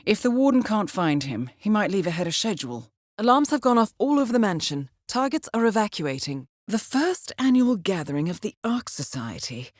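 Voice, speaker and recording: An actress playing a composed, articulate intelligence handler in a video game, briefing an assassin in a measured, precise, formal way. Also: female voice, mid-range voice, very animated, dry recording, clean studio sound. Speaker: mission handler.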